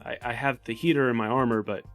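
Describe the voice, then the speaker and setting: Practical, serious desert tribesman, man at a game table, medium pitch, roleplay dialogue. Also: fairly even intonation, fast talker, soft background music, natural voice. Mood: earnest. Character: earnest male desert tribesman, weary of the cold, practical.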